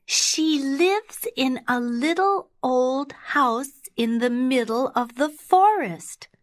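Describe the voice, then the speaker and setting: Woman as a high, innocent little storybook girl. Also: expressive, slow. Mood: innocent, hesitant. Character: innocent, trusting little girl in a fairy tale.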